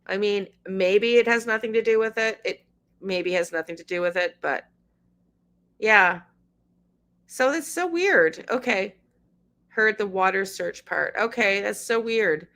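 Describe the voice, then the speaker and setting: A woman's voice: mid-range voice, a little slow, a bit bright. A middle-aged woman, hosting a casual livestream, reading news aloud and chatting with viewers by name. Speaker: livestream host.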